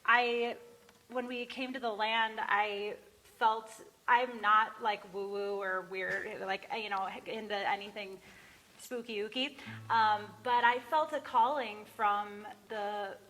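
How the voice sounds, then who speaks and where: Earnest woman, bright voice in a reverberant barn. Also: mid-range voice, fairly even intonation. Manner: earnest, heartfelt, halting. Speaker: singer and songwriter introducing own songs. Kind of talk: casual, personal talk before a performance. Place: barn, small live gathering. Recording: reverberant live barn recording.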